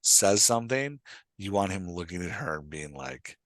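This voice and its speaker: A man hosting and coaching in an animated, conversational way, emphatic and often checking that he is being understood. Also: sits low, moderate pace, no echo. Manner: acts out short example lines of dialogue. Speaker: podcast host and writing coach.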